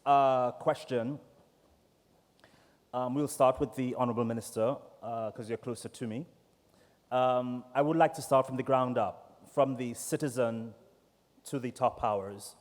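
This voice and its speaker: Trinidadian guy hosting a panel, easygoing, lots of ums, mid pitch, big hall echo. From Trinidad and Tobago. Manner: relaxed, chatty, with many fillers. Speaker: panel moderator. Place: large conference hall. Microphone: desk microphone. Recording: echoey hall reverb.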